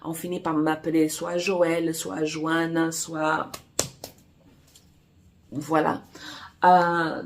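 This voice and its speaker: A woman whose first language is Portuguese talks casually about her writing. She speaks slowly and unhurriedly, pausing often with fillers and restarting her sentences. Her voice sits a little low for a woman. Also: a little mellow. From Angola. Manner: halting, many fillers and restarts. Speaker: romance author and publisher. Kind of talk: casual vlog chat.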